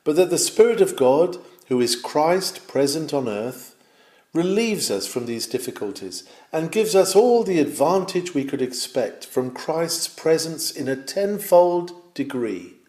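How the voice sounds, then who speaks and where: A man reading a nineteenth-century sermon aloud, measured and emphatic, rising on his questions. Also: on the high side, lively intonation, mellow. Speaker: sermon reader. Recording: roomy echo.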